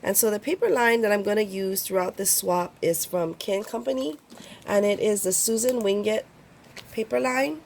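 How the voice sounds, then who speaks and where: A woman's voice: mid-range voice, moderate pace, gravelly, a little mellow, slight background noise. A woman with a cold, sounding congested, chatting casually as she shows her craft swap items. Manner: chatty, rambling, many ums. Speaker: crafting YouTuber. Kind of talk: casual show-and-tell vlog.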